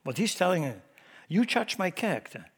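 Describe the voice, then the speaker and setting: A middle-aged man with a Swiss German accent, preaching a sermon in church, emphatic and shifting from loud to hushed. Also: high voice, sing-song, moderate pace, slightly rough. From Switzerland. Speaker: pastor.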